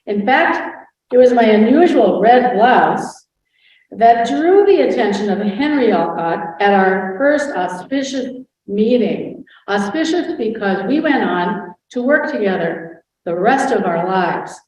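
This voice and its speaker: A woman's voice: medium pitch, animated, takes it slowly, a little mellow. A woman playing a proud, witty 19th-century noblewoman in a scripted first-person portrayal, with a grand storytelling delivery, recorded far from the mic in a very echoey hall. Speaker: historical impersonator. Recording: roomy hall sound, far from the mic, dull.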